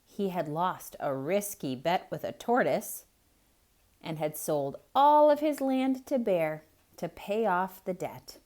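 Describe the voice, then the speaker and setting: A woman telling a story to children, reading a picture book aloud in a theatrical way. Her delivery is slow and unhurried. Her pitch rises and falls a lot, and she stops now and then to ask questions. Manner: expressive, asking the listener questions. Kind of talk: theatrical read-aloud for kids.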